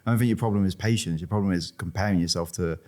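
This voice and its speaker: A man in casual podcast chat, reasoning things through earnestly and gently. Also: sits low, rapid-fire.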